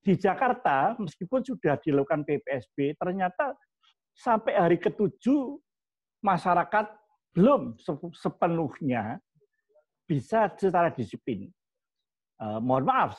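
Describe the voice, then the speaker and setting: Slightly husky, higher-pitched man. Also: no echo. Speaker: guest speaker, disaster-response organization leader. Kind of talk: explanatory talk in an online forum. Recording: dull, lo-fi online meeting audio.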